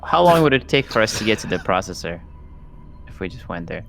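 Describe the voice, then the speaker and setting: A man's voice: fairly low pitch, very animated, a little fast, creaky, noisy background. A man chatting casually as a player in a tabletop game. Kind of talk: casual chat.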